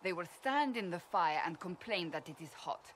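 Stern, devout warrior. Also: female voice, lowish voice, expressive, a little hiss or hum. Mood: earnest. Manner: grave, forceful, deliberate phrasing. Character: stern, devout, blunt warrior woman in a fantasy world. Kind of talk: video game cutscene acting.

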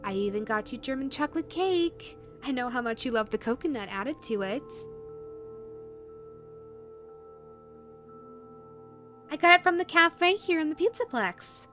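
A woman's voice: high-pitched, expressive, a little fast, sharp tone, soft background music. A woman voicing a possessive, sweetly menacing yandere rabbit woman in a roleplay audio drama, coaxing and condescending in a saccharine way. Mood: sweet, doting.

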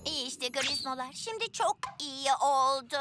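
A pampered young princess speaks in a very high, theatrical voice. She is pompous and thoroughly pleased with herself, in children's cartoon style, with music playing behind her. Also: animated, unhurried. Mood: bossy, excited. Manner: pompous, theatrical, self-admiring. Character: vain, pampered, sparkle-obsessed young princess. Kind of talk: animated children's cartoon acting.

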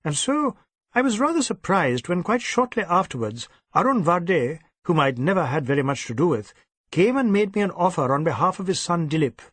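A male narrator plays an older Indian gentleman of the club, proper and brisk. He speaks in clipped, old-fashioned phrases, with a raised pitch that moves freely and a husky edge. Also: big pitch swings, no room sound, exaggerated voice. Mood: matter-of-fact.

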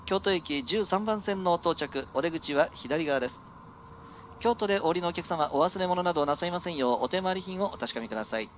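Guy conductor on the train pa, really high voice, talks quick. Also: animated, fairly bright tone. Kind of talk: live formulaic train announcement. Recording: train PA with vehicle noise. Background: train rumble.

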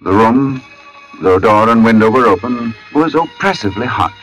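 An actor playing a brooding, haunted middle-aged artist in an old-time radio suspense drama, narrating in formal, deliberate phrases with dramatic pauses. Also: male voice, mid-range voice, animated, fairly bright tone, soft background music, close to an everyday voice, poor audio. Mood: calm, reflective. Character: brooding forty-year-old male artist, haunted, precise, foreboding. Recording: vintage radio broadcast recording.

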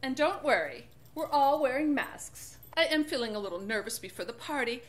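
A woman's voice: sits high, lively intonation, normal speed. A woman playing a flamboyant, nervous party-going friend in a scripted comic skit. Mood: chirpy, nervous. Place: decorated indoor library set.

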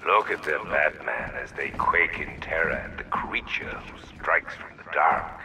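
Slow, very deep sinister villain. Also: male voice, bright, forceful tone, slight background noise, heavily acted. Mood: menacing. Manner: slow, ominous, taunting. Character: menacing male villain, sinister and theatrical, relishing fear. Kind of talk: video game villain monologue.

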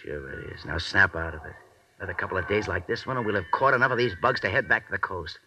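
An actor playing a hardened, hot-tempered convict in a 1940s radio drama, talking in gruff, impatient slang. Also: male voice, low-pitched, quick pace, bright, forceful tone, far from the mic. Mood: confident, insistent. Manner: gruff, slangy, impatient tough-guy talk. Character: hardened, hot-tempered convict fugitive hunting butterflies in jungle. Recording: muffled, hissy old radio recording.

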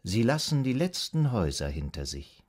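An actor playing a calm, soothing psychoanalyst in a radio drama, guiding a patient in a measured, hypnotic, gently instructing way. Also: male voice, medium pitch. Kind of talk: German radio drama acting.

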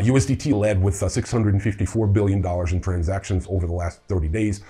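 A middle-aged man with a low, mellow voice that has a husky grain. He presents a news rundown in a semi-scripted way, dropping in casual, wry comments as he goes. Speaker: host of a crypto news show. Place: indoor room with wooden staircase.

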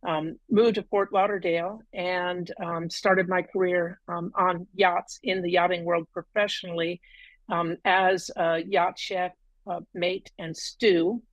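A woman's voice: fairly low pitch, level tone, a little slow, no echo, highs cut off. A middle-aged woman, a guest on a video call, telling her story at length with many ums and uhs. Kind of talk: casual interview. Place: room at home, green wall.

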